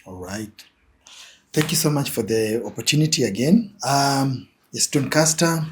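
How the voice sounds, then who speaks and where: A man's voice: medium pitch, a little slow, a little hiss or hum. A young Kenyan man with a Kenyan accent, answering interview questions as an image consultant, with many fillers and repeated words.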